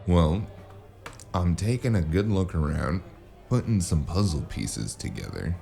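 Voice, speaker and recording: Man acting a very deep gravelly noir investigator. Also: expressive, unhurried, warm tone, soft background music, heavily acted. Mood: guarded. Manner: dry, hard-boiled, laconic. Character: gruff, wary noir investigator narrating his own case. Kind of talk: noir audio drama narration and dialogue.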